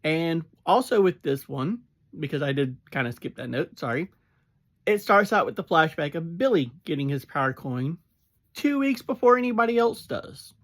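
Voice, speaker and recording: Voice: mid-range voice, sing-song, normal speed. A fan in their thirties or forties giving a casual, chatty spoken review, laughing now and then.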